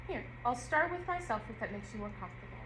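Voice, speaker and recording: A woman actor reading an audio play script at rehearsal in a measured storytelling way. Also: on the high side, animated, natural voice. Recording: roomy and noisy rehearsal room.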